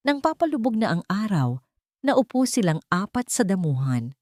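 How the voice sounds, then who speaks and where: A woman's voice: mid-range voice, sing-song, normal speed, darkish tone, dry recording, clean studio sound. A woman narrating a scripted romantic story in a dramatic, sentimental way.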